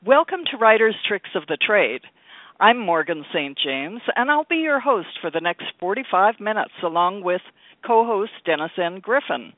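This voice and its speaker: A man's voice: sits high, very animated, moderate pace, no room sound. A man presenting a talk radio show as its host. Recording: narrow phone-like radio line, dry.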